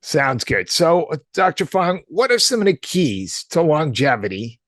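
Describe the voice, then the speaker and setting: A man's voice: on the high side, sing-song, measured pace, fairly bright tone, no echo. A man hosting a health interview, asking questions in a conversational way. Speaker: interview host, health practitioner.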